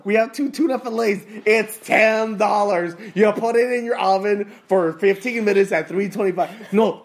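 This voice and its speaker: A man doing a loud, higher-pitched impression of a brash, rude male grocery clerk, laughing and insulting customers.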